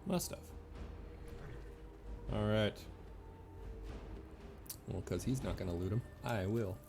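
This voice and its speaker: A man's voice: on the low side, takes it slowly, music in the background. A man streaming a video game with casual, playful and sometimes sweary commentary.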